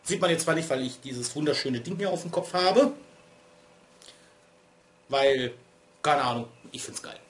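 A man's voice: on the high side, measured pace, pauses often. A man in his thirties vlogging from a small room at home. He rambles casually and pokes fun at himself, and he sounds a little stuffy from a cold. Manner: chatty, digressive, self-deprecating. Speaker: vlogger and streamer. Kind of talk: casual rambling vlog talk. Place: small room at home, lit by LED strips.

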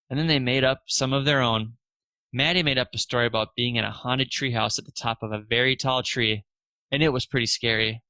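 A man reads a children's storybook aloud in a bright, forward voice. His delivery is expressive, lifting for the characters' lines. The sound is dry, with very little room around it. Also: mid-range voice, no room sound. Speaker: narrator reading a children's story.

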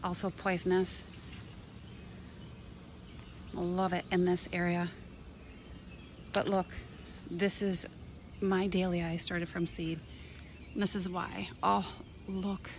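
A woman's voice: on the low side, flat delivery, slow, long pauses, a little hiss or hum. A woman from Minnesota chatting enthusiastically as she gives a casual tour of her container garden outdoors, filming on a handheld phone. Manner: enthusiastic, chatty, pointing things out. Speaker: gardening vlogger.

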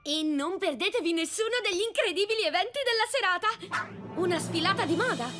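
A woman's voice: extremely high pitch, expressive, brisk. A woman playing an earnest, bookish young girl in a cartoon dub, with an explanatory manner. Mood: enthusiastic. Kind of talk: animated cartoon dub acting. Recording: music behind the voice.

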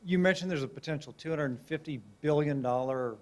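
A man puts a spontaneous question at a talk, phrasing it haltingly. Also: a little high, a little mellow. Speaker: audience member asking a question. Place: university lecture hall, indoors.

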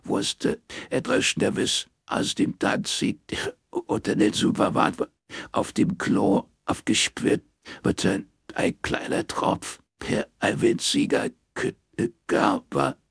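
A man voicing a distraught woman in an audiobook, crying and stammering haltingly through a phone call. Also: mid-range voice, huge pitch swings, vocal fry, slightly rough, no room sound, studio quality, voice pushed up, exaggerated voice. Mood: sobbing, stammering.

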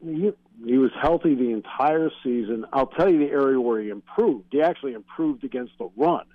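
A man's voice: mid-range voice, fairly quick, no room sound. American man on a dull call-in line. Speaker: guest sports broadcaster. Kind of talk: sports radio interview, analytical answers. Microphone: remote call-in connection.

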